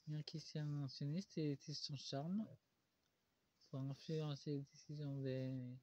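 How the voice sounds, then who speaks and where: Casting: halting tarot reader with a stuffy cold, man, somewhat high and mellow voice. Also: steady tone. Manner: halting, with many pauses and "euh" fillers. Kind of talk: solo tarot card reading. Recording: dull lo-fi recording.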